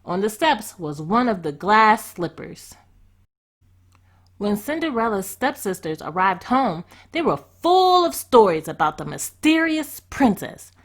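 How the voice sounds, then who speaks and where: Expressive woman reading a storybook aloud. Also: mid-range voice, a little slow.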